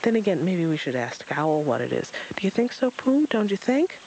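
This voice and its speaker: A reader voicing a tiny excitable young kangaroo boy, squeaky and eager. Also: normal speed, slight background noise, poor audio.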